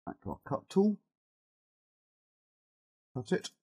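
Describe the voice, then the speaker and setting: A middle-aged man with a cold and a fading, husky voice, talking haltingly through an informal step-by-step software tutorial and thinking aloud as he works. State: has a cold, losing his voice. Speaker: video editing tutorial presenter.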